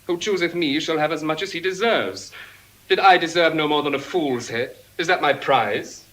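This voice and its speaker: A man's voice: fairly high pitch, normal speed, fairly bright tone, poor audio. An actor playing a proud, pompous nobleman suitor, declaiming classical verse. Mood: indignant, dismayed. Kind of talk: classical stage verse acting.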